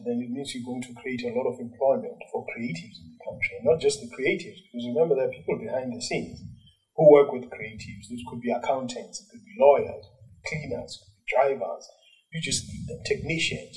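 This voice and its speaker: A man's voice: medium pitch, distant mic, rough recording. South African man, commentator, off-mic. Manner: animated, with many fillers and restarts. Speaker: journalist and cultural commentator. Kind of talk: interview answers.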